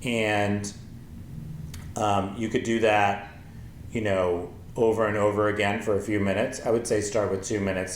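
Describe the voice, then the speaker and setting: A man in his forties with a low voice, teaching a yoga lesson slowly and gently with many pauses and ums. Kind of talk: calm spoken yoga lesson with chanting. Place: small wooden-floored room with paper screen, indoors. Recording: echoey room, faint ambient noise.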